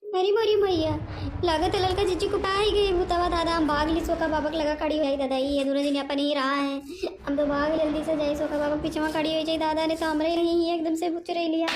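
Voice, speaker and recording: A woman acts out an excitable, easily scared village housewife from a cartoon comedy. The pitch is extremely high. She frets in exclamations and keeps repeating herself. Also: a little fast, noisy background, lightly acted. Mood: frightened. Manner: exclamatory, fretful, repetitive. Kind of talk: animated comedy cartoon voice acting in dialect.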